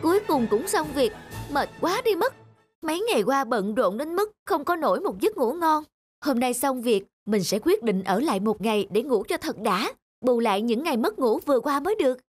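A woman's voice: sits high, very animated, moderate pace, slightly rough, dry recording, faint music behind, full character voice. A woman voicing a sly, weary young male photographer in a cartoon, hesitant and grumbling. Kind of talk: cartoon dub.

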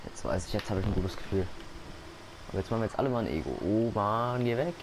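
Male let's-player, low gravelly voice, noisy capture, rambling jokes. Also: animated, fast talker. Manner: rambling, joking to himself. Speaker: gaming YouTuber. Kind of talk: casual let's-play commentary. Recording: noisy gameplay recording.

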